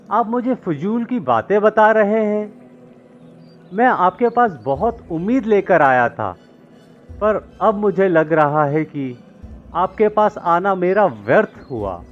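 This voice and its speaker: A man voicing a distressed, complaining farmer in an acted moral story, pleading and dramatic. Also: high voice. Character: distressed, complaining farmer seeking a holy man's help. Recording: muffled lo-fi with music behind.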